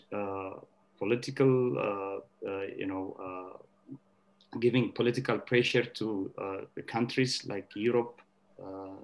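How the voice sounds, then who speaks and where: A middle-aged or older man from Ethiopia speaking off the cuff as an interview guest, halting and hesitant, with long pauses. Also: fairly low pitch, rough recording. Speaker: diaspora organization member, interview guest. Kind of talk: unscripted interview talk.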